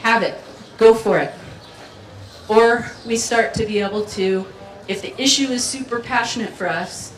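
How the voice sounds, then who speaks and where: A woman's voice: a little high, unhurried. A woman giving a playful, chatty keynote talk at a community festival, drawing the audience in. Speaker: festival speaker. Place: community event venue. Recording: roomy hall sound from far off, faint ambience.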